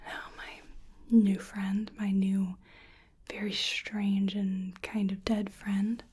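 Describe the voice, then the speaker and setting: A woman doing soft-spoken ASMR roleplay, largely whispering, gently and hesitantly murmuring to the listener in a breathy voice. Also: mid-range voice, level tone, slow pace.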